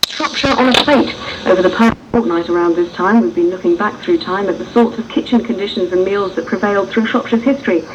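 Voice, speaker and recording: A woman presents a radio series from a script, in a mid-pitched voice. She sounds set back from the microphone. The recording is muffled, with a faint layer of noise. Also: brisk, few pauses. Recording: dull, distant lo-fi tape, faint noise.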